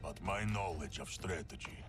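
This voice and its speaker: A man's voice: sits low, moderate pace, over music, natural voice. A man with a Russian accent playing a huge, proud soldier-strategist in a video game, speaking ponderously. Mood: proud reminiscence. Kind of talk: scripted dramatic narration.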